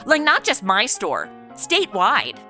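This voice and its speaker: A woman's voice: on the high side, wildly swooping pitch, unhurried, pushed and bright, faint music behind, heavily acted. A woman with an American accent playing a brash, boozy modern party girl, bantering and narrating in a comedy audio drama. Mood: tipsy, snarky. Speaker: lead of the show.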